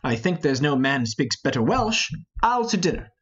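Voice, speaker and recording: A man's voice: medium pitch, sing-song, normal speed, a bit bright, husky, highs cut off, pitched below the performer's own voice. A man playing a hot-headed, mocking young rebel nobleman in a Shakespearean play reading, scoffing and impatient.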